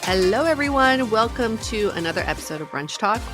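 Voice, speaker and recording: A woman's voice: on the high side, lively intonation, fairly quick, music in the background. Upbeat woman podcast co-host. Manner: upbeat, agreeable, many fillers. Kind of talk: casual chat and read ad.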